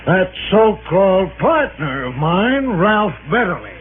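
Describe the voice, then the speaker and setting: A man's voice: sits high, very animated, slow, over music, heavily acted. An American man playing a brash, boastful businessman in 1950s radio style, hearty, chatty and showy. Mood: hearty, confiding. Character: brash, boastful, slangy self-promoting businessman. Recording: noisy, tinny old radio recording.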